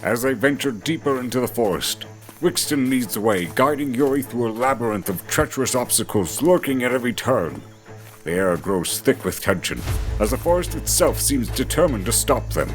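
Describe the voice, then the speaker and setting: Guy narrating fantasy story, dramatic and theatrical, pitch moves a lot, music playing behind him. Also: expressive, normal speed.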